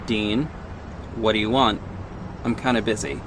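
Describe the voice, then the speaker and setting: A man's voice: lowish voice, steady tone, noisy background, natural voice. Guarded, sardonic male vigilante, played by an actor. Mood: cold, irritated. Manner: measured, terse, exasperated. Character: guarded, sardonic costumed vigilante, heartbroken and stubborn. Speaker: narrator and lead actor. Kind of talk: first-person audio drama narration and dialogue.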